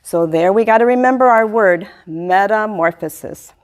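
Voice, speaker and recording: A middle-aged woman giving a lively science lesson to young children, filmed indoors by a fixed camera. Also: mid-range voice, moderate pace. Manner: animated, speaks to young kids. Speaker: extension master gardener presenter. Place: small indoor room before a wall display.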